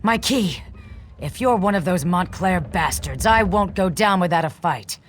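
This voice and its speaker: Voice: medium pitch, expressive, measured pace, slight background noise, close to an everyday voice. An actor voicing a tough smuggler captain. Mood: gruff. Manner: threatening, then grudgingly cooperative. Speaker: game character.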